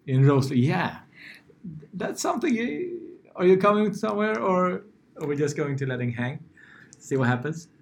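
A man's voice: on the high side, big pitch swings, normal speed, creaky. A man from Sweden with a Swedish accent, co-hosting a casual podcast and chuckling along. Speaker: podcast co-host.

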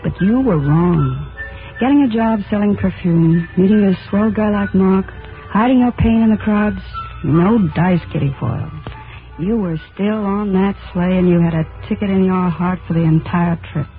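High-voiced sardonic radio narrator. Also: male voice, moderate pace. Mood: taunting. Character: knowing, sardonic narrator addressing the heroine. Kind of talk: 1940s radio drama narration. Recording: tinny narrow-band 1940s radio, faint music.

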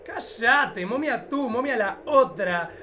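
A man's voice: very high voice, lively intonation, slow, bright, forceful tone, heavily acted. A man playing a vain, carefree young showgirl diva in a campy comic radio play. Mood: bickering, dramatic. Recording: clearly noisy background.

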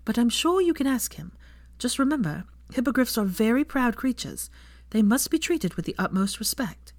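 A woman voicing a caring, earnest young witch in a storybook reading, gentle and careful with her words. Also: a little high, sing-song, normal speed, dry recording, voice pushed up. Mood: patient.

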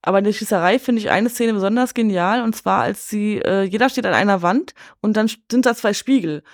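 A woman's voice: medium pitch, fairly quick, no room sound, studio quality. A woman speaking as a guest in a casual film discussion, colloquial and sprinkled with English slang. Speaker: podcast guest.

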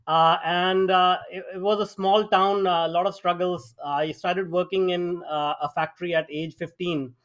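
A man's voice: high voice, little pitch movement, normal speed, few pauses, a bit bright. A middle-aged man from India with an Indian accent, a guest telling his life story in a podcast interview, with many fillers and restarts. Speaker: guest, AI founder.

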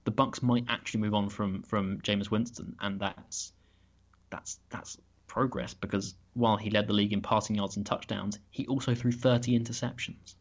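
A man's voice: lowish voice, fairly even intonation, moderate pace, muffled recording. A British man on a podcast giving his opinions, often stumbling and restarting his sentences. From Britain. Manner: opinionated, with frequent restarts and repeated words. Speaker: podcast guest, football analyst. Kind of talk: casual podcast discussion.

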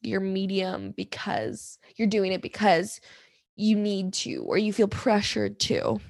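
A young woman talks candidly on her solo podcast, wandering through her thoughts with frequent "like"s and restarts. Her voice is raspy and a bit hoarse, with a gravelly fry at the ends of phrases. The sound is close and dry, with no room echo. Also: mid-range voice, slow pace, darkish tone. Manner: candid, rambling, many fillers and restarts. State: hoarse from singing. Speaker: podcast host.

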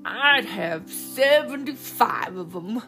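A woman voicing a restless, greedy boy who complains loudly and drags out his words. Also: a little high, lively intonation, takes it slowly, creaky, soft background music, exaggerated voice. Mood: grumbling.

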